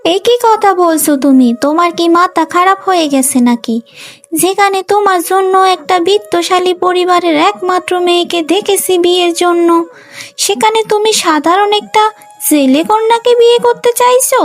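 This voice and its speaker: A woman's voice: very high-pitched, normal speed. A woman playing a scheming, snobbish daughter-in-law in a melodramatic cartoon, pushy and exclamatory. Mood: scornful, shocked. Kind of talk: melodramatic cartoon story dubbing. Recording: music under the voice.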